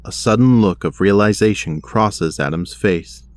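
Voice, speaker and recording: A man's voice: lowish voice, a little slow, dry recording, close to an everyday voice. A man narrating a superhero audio drama in a scripted storytelling style. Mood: measured. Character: male narrator of a superhero adventure.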